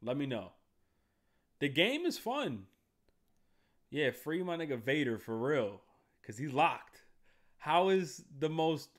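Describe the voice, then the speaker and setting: A man live streaming from his desk mic, ranting to his chat in a loose, profane, rambling way. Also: on the high side. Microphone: streaming microphone at a desk.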